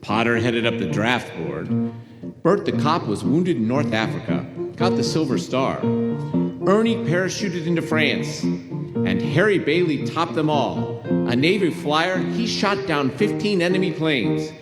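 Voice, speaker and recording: A man's voice: on the high side, very animated, unhurried. A man acting as the storytelling narrator of a radio play, delivering scripted dramatic narration. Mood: stirring. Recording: echoey hall, loud guitar behind. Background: plucked guitar music.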